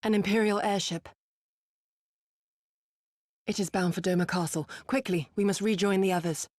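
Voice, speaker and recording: A woman playing an earnest, dutiful ninja resistance fighter in a video game, speaking in a solemn, measured and formal way. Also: mid-range voice, steady tone, a little mellow, no room sound, clean studio sound, close to an everyday voice. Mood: urgent. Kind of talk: dramatic video game acting.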